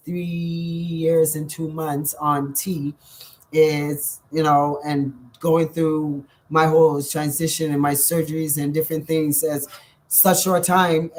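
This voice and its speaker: A Bahamian man vlogging casually to his viewers, effusive, full of thanks and shout-outs, with frequent ums. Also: a little high. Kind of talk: casual direct-to-camera vlog.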